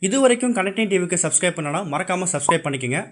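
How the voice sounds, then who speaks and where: A man's voice: high voice, animated, very fast, a bit bright. A man reading a scripted channel announcement in a noisy clip.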